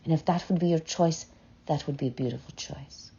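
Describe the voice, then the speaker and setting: A woman leading a guided meditation in a soft, breathy voice, gently and unhurriedly, with long pauses between short phrases. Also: low-pitched. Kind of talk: slow, soothing guided meditation. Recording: dull, muffled lo-fi sound.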